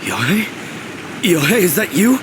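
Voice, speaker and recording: A man playing a young swordsman in a video game, with a dramatic, drawn-out delivery. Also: high-pitched, very animated, noisy background. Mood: weak, bewildered. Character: young swordsman, by turns possessed braggart and meek loser. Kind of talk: scripted video game dialogue. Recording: music behind the voice.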